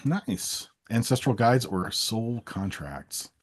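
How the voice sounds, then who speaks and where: A man hosting a casual interview, reading out rapid either-or questions in a playful, teasing way. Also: mid-range voice, very animated. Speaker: interviewer, host.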